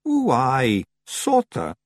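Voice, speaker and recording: A man's voice: mid-range voice, wildly swooping pitch, drawn-out words, no room sound, pitched above the performer's own voice, full character voice. A man voicing a tiny, nervous, cheeky fairy man, wheedling and squirming. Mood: sheepish.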